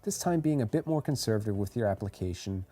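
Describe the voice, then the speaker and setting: Mellow-voiced man narrating a tutorial. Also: on the low side, animated, quick pace. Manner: clear step-by-step instruction. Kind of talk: scripted how-to voice-over.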